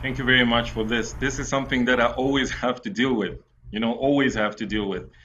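A man's voice: medium pitch, steady tone, fairly quick, bright, forceful tone, a little hiss or hum. Man, from Cameroon, entrepreneur, candid storytelling, repeats himself for emphasis, dull lo-fi call audio. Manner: earnest, frank, repeats phrases for emphasis. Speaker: entrepreneur panelist. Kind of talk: candid storytelling on a webinar panel. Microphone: video call.

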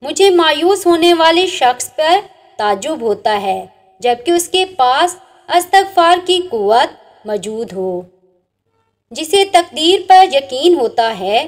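Female narrator, high and melodic, for slow recitation of religious sayings with gently falling line endings. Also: big pitch swings. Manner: measured recitation, each saying ends on a dropping, softer note. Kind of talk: reading inspirational religious sayings over slides.

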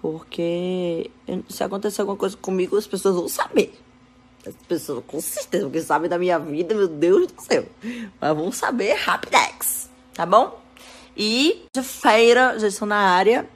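A young woman talking casually to her fans in selfie phone clips, chatty and direct, soft-spoken and firm when setting limits, often checking in with "tá bom?". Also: mid-range voice, normal speed, husky. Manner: chatty, addresses fans as "gente", repeats "tá bom?". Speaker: dancer and influencer talking to fans. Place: bedroom and car, indoors. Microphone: phone at arm's length.

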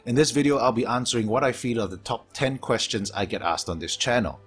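A man from Singapore with a Singaporean English accent, reading a scripted gaming Q&A voice-over in a steady, measured way from his home hobby room, his s sounds sharp and a low hum behind him. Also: breathy edge. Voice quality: strong sibilance, low hum from aquarium pumps. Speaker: gaming YouTuber.

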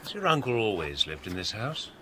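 An actor playing a reserved, courteous scholarly houseguest in a radio drama, speaking formally. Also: male voice, on the low side, big pitch swings, brisk, noisy background.

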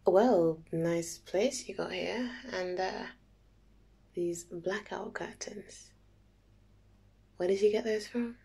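A woman playing a playful, nervous young date in an intimate ASMR roleplay, soft and halting, often near a whisper. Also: lowish voice, gravelly, husky, exaggerated voice. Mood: casual, teasing. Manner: halting, giggly, teasing.

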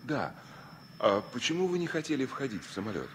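An actor playing a romantic, reserved engineer in a radio drama, polite and a little hesitant. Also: male voice, mid-range voice, big pitch swings, a little fast, fairly bright tone, a little hiss or hum, poor audio. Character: romantic, reserved male safety engineer in love. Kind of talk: Soviet radio drama acting.

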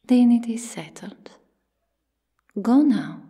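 Woman doing amazon queen for asmr roleplay, soft mellow half whisper, formal n commanding, takes her time. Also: fairly high pitch, animated, slow pace, heavily acted. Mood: firm, commanding. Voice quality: soft, breathy, often whispering. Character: regal, firm, hospitable Amazon warrior queen.

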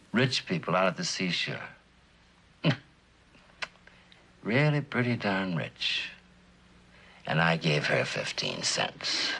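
An actor playing a wry, unhurried police lieutenant in an old television drama, dry and teasing. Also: male voice, lowish voice, vocal fry, bright, forceful tone, slightly rough, full character voice. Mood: musing. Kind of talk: 1960s television drama acting. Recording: faintly noisy old TV soundtrack.

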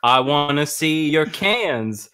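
Guy on a comedy podcast reading stuff out mock-earnest, bright highish voice, takes his time. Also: animated, takes it slowly, clear, resonant tone. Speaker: podcast panelist reading documents aloud. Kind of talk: comedic reading aloud with casual banter.

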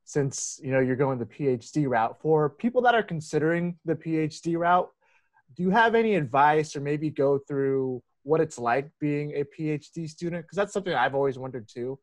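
A man's voice: a little high, expressive, moderate pace, dry recording. A man hosting an interview podcast over a video call, asking questions in a casual, hesitant way. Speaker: podcast host. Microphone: headset on a video call.

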